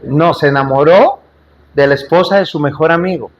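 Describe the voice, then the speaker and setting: High-voiced man preaching emphatically. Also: normal speed, dull audio, a little hiss or hum. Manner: emphatic, repetitive rhetorical preaching with questions to listeners; jokes and asides in between. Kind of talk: sermon to a congregation. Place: church.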